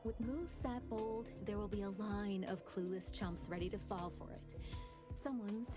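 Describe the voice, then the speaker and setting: A woman's voice: medium pitch, a little slow, music in the background, close to an everyday voice. Woman acting a cocky, sardonic game crew boss. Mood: teasing. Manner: sardonic, breezy.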